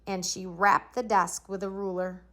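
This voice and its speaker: A woman's voice: medium pitch, level tone, moderate pace, a bit bright. A woman reading a children's storybook aloud as narrator. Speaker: storybook reader.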